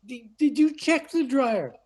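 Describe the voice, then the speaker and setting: A woman's voice: high-pitched, expressive, measured pace, darkish tone. An older woman playing a flustered, prim suburban husband in a comic radio play, stammering and indignant.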